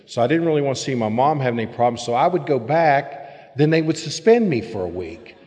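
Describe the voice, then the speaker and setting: A man's voice: medium pitch, very animated, fairly quick, a little mellow. Candid man telling his story in a hall. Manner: candid, plain-spoken storytelling with emphatic bursts. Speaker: speaker sharing his recovery story. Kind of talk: personal recovery talk to a meeting. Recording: long hall reverb.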